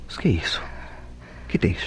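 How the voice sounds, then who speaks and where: An actor with a Brazilian accent playing a guilt-ridden killer and bitter lover in a melodramatic radio drama, with theatrical, formal diction. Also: male voice, quick pace, lightly acted. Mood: anguished, accusing. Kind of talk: old-fashioned melodramatic radio drama acting.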